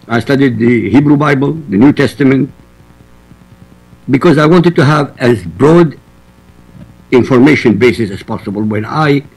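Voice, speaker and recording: Husky guy from iraq, arabic first language, pushing his point hard in a debate. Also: medium pitch, low-quality recording. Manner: forceful, emphatic quoting. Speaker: guest. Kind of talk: debate argument.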